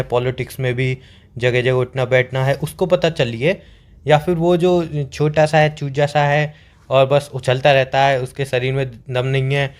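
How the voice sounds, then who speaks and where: A young man playing a boyfriend on a staged couple phone call, joking and teasing. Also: mid-range voice.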